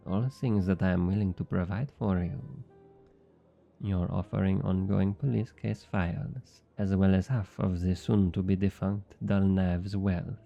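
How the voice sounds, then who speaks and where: An actor playing a smug, flirty thief with a French accent, teasing and coaxing in an intimate ASMR roleplay. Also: male voice, low voice, vocal fry, dull audio. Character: obnoxiously flirty, smug male thief and information broker.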